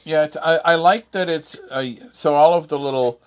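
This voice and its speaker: A man's voice: on the high side, normal speed, clear, resonant tone. An American man co-hosting a casual product review, with laid-back, joking phrasing. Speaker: co-host reviewing RV gadgets.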